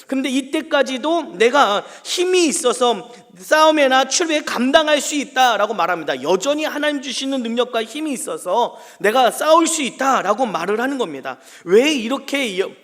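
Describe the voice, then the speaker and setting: A man's voice: very high-pitched, animated, moderate pace, fairly bright tone. A male pastor giving an earnest early morning sermon on a scripture reading, rising with emphasis when he quotes the text.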